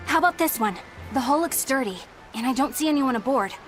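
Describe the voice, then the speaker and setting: A woman's voice: high-pitched, brisk. An actress playing an earnest, proper, easily flustered young warrior woman in a video game cutscene. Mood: eager. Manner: earnest, expressive. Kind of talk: English-dubbed video game cutscene acting. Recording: dramatic game music behind the voice.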